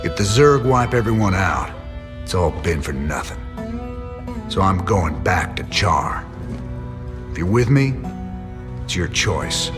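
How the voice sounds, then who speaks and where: An actor playing a weary, resolute middle-aged rebel commander in a video game, terse and plain-spoken. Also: male voice, big pitch swings, slow pace, pauses often, heavily acted. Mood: grave, resolute. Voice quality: low gravelly voice. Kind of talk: video game cinematic acting. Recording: loud guitar music behind.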